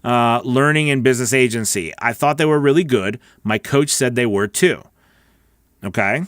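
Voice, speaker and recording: A man's voice: medium pitch, moderate pace, a bit bright, no echo, clean studio sound. A middle-aged man hosting a casual livestream, chatty and rambling in an informal, friendly way as he answers viewers. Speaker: host, voice-over coach. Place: small home recording studio. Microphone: close broadcast mic on boom arm.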